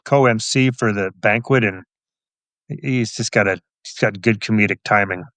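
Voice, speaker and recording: A man's voice: fairly low pitch, normal speed, fairly bright tone, no room sound. A middle-aged American man chatting casually as an interview guest, understated and modest. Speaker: guest author.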